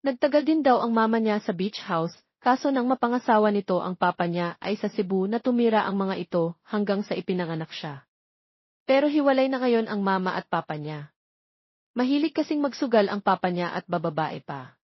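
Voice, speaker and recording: A woman's voice: mid-range voice, animated, a little fast, no room sound. Filipino woman narrating, dry dull sound. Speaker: story narrator. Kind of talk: scripted romance story narration.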